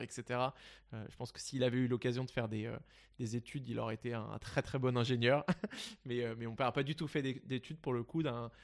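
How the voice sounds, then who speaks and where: A man's voice: medium pitch, creaky. A young man from the Paris region of France, a guest in an interview, telling his story casually with many fillers and restarts. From Seine-et-Marne, France. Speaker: guest investor.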